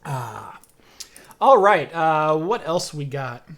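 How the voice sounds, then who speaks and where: A young man from Oregon hosting a casual tech podcast, talking loudly and chattily and laughing often. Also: fairly high pitch, lively intonation, slow pace. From Oregon, USA. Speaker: show host.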